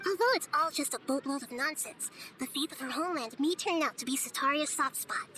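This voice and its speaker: A woman voicing a small, girlish goddess in a game cutscene, light and youthful, explaining things in a calm, thoughtful way. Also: high voice, a little fast, slight background noise, rough recording. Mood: calm scheming. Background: music.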